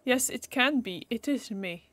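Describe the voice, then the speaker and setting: A woman's voice: a little high, animated, unhurried, fairly bright tone. A young woman with a Dutch accent streaming a game, casually and sarcastically talking back to the characters. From Netherlands. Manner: sarcastic, chatty, jokes at the game. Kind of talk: casual gameplay commentary.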